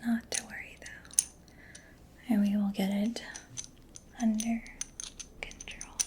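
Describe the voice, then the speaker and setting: A woman whispering in a breathy, gentle, unhurried murmur for an ASMR roleplay, close to the microphone. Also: medium pitch, flat delivery. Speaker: ASMR creator playing a robot mechanic. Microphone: close-up sensitive microphone. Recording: close-up whisper with clear background noise.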